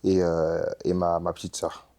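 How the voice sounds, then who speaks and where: A young man from Congo chatting in an interview, telling stories in an animated, slangy way and laughing often. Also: deep voice, normal speed. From Republic of the Congo. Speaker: rap artist guest. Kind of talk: casual interview chat.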